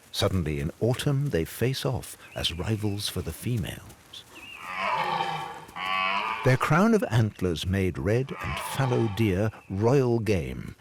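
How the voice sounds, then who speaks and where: A man narrating a scripted nature and folklore documentary, telling it in a measured, dramatic storyteller's way. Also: fairly low pitch, slight background noise. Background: clear background music.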